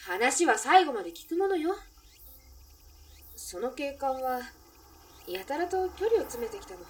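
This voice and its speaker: A woman plays a friendly, gently teasing café barmaid in a radio drama. Her voice is high and animated, with feminine phrasing. It is recorded slightly away from the microphone. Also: a little slow, far from the mic. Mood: bashful, reminiscing. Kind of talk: Japanese radio drama acting.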